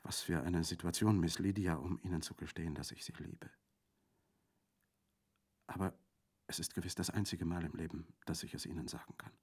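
A man reading aloud, voicing an earnest, lovesick wounded young officer in a soft, hushed way. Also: low-pitched, fairly even intonation, brisk, hardly any pauses, husky. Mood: tender. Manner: soft, hushed, at times whispering.

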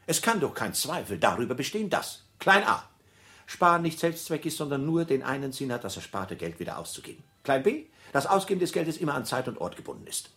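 Ein Schauspieler spielt in einem Hörspiel einen pompösen, pedantischen Antragsteller, der deklamierend Punkt für Punkt absurd logisch argumentiert. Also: male voice, mid-range voice, very animated, a little fast, fairly bright tone. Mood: pompous, insistent. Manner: declamatory, pedantic, enumerating points. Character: pompous pedantic male credit applicant, absurdly logical speechmaker. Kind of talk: radio play acting.

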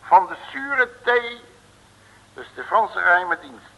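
An actor playing a cocky, blustering streetwise detective in an old Dutch radio play, with a cheeky colloquial manner. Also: male voice, high voice, big pitch swings, takes it slowly, bright, forceful tone, full character voice. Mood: breezy. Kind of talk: 1950s-60s Dutch radio play acting. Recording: old radio recording, reverberant hall.

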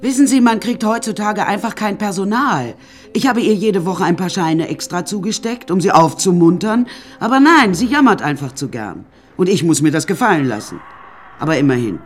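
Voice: mid-range voice, expressive, moderate pace, soft background music, lightly acted. An actor playing an imperious, eccentric older woman painter in a radio drama, haughty and theatrical, addressing the listeners directly. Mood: haughty, complaining.

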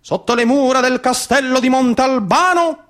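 Guy putting on an old sicilian cuntista, very high voice, chanting knight battles in grand rhythm. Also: moderate pace, fairly bright tone. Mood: grand, excited. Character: old Sicilian puppeteer bard chanting knightly epic duels. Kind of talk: traditional cuntista epic storytelling.

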